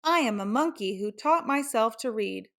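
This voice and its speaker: Woman putting on a goofy, high-pitched robot monkey. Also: lively intonation, normal speed, creaky, a bit bright. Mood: goofy, proud. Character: silly, boastful robot monkey from a picture-less storybook.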